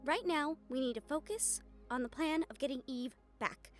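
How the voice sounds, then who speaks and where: A woman playing a guarded, protective young fiancée in an intimate audio roleplay drama, speaking earnestly and emphatically with deliberate pauses. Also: high-pitched, faint music behind, close to an everyday voice. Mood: firm, resolute.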